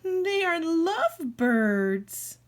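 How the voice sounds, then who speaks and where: A woman's voice: very high-pitched, very animated, drawn-out words, voice pushed up, full character voice. A woman voicing a cheerful, helpful little female pig in a children's storybook, in a matter-of-fact singsong. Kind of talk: children's storybook read-aloud.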